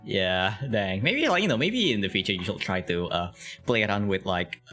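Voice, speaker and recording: A man's voice: lowish voice, lively intonation, moderate pace, sharp tone, soft background music. A man hosting a casual interview stream, laughing often and filling his talk with "like" and "uh". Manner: giggly, filler-heavy, lots of "like". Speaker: interview show host. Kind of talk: casual chat over a video call.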